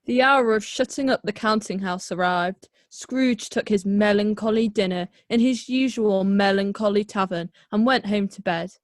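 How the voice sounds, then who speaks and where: A woman narrating a radio play as a storyteller, measured and dramatic. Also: mid-range voice, no echo, clean studio sound.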